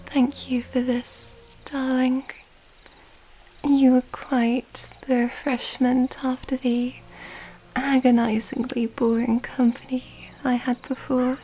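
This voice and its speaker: Slow, whispering seductive vampire woman, acted out by a woman. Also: sits high, little pitch movement, a little hiss or hum. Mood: tender, satisfied. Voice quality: soft, breathy whispering. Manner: slow, intimate, teasing delivery. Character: seductive, mysterious, teasing female vampire at a masquerade ball. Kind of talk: ASMR roleplay.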